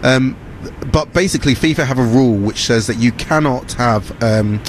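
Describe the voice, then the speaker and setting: A man's voice: mid-range voice, normal speed, a little hiss or hum. A male news reporter doing field interviews and reporting, conversational and a bit hesitant with fillers. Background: music.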